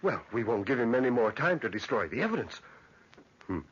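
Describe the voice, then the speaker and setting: Fussy, husky-voiced old magistrate. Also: male voice, medium pitch, sing-song, fairly quick. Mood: puzzled, impatient. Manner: hemming and hawing, pompous. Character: fussy, suspicious old magistrate of a superstitious town. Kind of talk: old-time radio drama acting. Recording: dull, lo-fi old radio recording.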